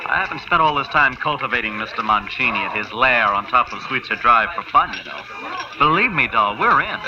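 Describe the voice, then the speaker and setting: An actor playing a cocky, scheming hustler, slangy and emphatic, in 1950s radio noir style. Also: male voice, mid-range voice, animated, a little fast, crowd noise, natural voice. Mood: cocky reassurance. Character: cocky, sweet-talking, scheming male hustler. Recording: dull old radio recording with music.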